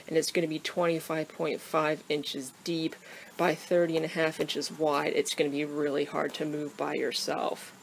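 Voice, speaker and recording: A woman talking through a cabinet build in her wood workshop in a relaxed, casual how-to style, thinking aloud with frequent ums. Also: sits low, slight background noise. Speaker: woodworker explaining a build. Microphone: camera pointing down at the workbench, speaker off-screen.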